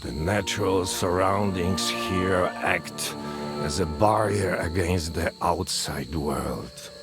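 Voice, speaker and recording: An older actor playing a reclusive old sorcerer and grieving father in a game, speaking in a solemn, deliberate storytelling manner. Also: low-pitched, over music. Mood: weary, reserved. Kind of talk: dramatic storytelling game dialogue.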